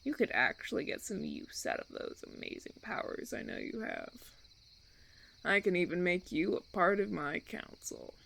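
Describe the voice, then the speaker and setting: Casting: male actor as smooth-talking, menacing vampire for audio roleplay; theatrical, patronizing, wildly varied pitch, some gravel. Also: very animated, pushed and bright, full character voice. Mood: smooth, persuasive. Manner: theatrical, deliberate, silky persuasion with veiled threats. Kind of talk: audio roleplay, ASMR monologue.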